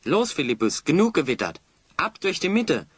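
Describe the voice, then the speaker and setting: A man's voice: a little high, big pitch swings, moderate pace, slightly rough, no echo. An actor playing a sensible, cautious hero in an audio drama, urging his companions along. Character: level-headed, cautious male adventure hero. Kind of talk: German audio drama acting.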